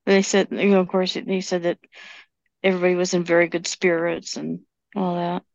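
A woman's voice: on the low side, level tone, normal speed, dry recording. A woman taking part in a casual group discussion about books. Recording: dull, lo-fi audio.

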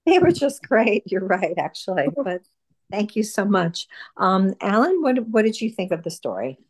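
A woman's voice: mid-range voice, big pitch swings, moderate pace. A woman casually moderating a book discussion in an encouraging, appreciative way. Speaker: book group host.

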